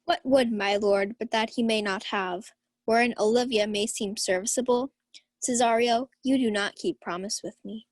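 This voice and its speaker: Casting: young woman as an imperious noblewoman in love, high voice, dry sound, radio-play Shakespeare. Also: steady tone, moderate pace, no room sound, close to an everyday voice. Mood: haughty. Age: young adult. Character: proud, passionate young noblewoman, a Countess in love.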